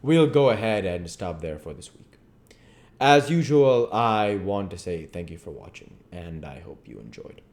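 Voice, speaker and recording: A man reading literary fiction aloud in a deliberate, theatrical way. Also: low-pitched, very animated, a little mellow. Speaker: reader, channel host. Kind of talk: literary audiobook-style reading.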